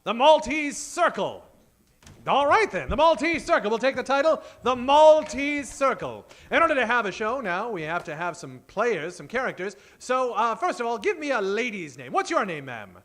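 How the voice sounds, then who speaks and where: A man with a very high, animated voice plays emcee in 1940s radio style. He projects like a showman from a theater stage, improvising his patter as host of a live comedy show. The tone is bright and forceful. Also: normal speed.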